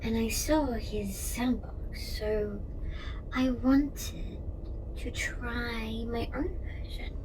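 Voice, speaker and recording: A woman playing a gentle, curious alien researcher in an ASMR roleplay, speaking softly and soothingly and often slipping into a whisper. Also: a little high, extremely slow. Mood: relaxed, chatty. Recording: roomy echo, distant mic, faint noise.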